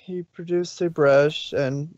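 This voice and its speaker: A man reading scripted fan fiction as a storybook narrator, with a measured, dramatic delivery. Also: fairly high pitch, steady tone, a little mellow, lo-fi sound.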